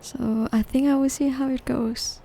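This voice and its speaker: A woman from northeastern Thailand, gently narrating a gardening video in a measured, explanatory way. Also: sits high, fairly even intonation, vocal fry, rough recording. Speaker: gardening vlogger. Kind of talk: calm scripted voice-over narration.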